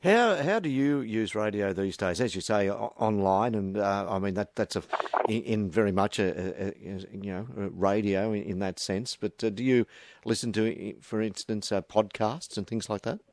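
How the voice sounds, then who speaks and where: Low-voiced Australian man hosting radio. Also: a little mellow. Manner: stammering, halting questions with repeated words. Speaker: radio interviewer and host. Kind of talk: live radio interview, casual chat.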